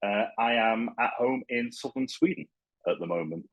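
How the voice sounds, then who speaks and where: A man's voice: mid-range voice, moderate pace. A man in his thirties from Liverpool with a Liverpool accent, a guest telling stories at home over a video call. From Liverpool, England. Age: 30s. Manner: relaxed, storytelling, with stammered restarts. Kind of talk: casual video-call interview.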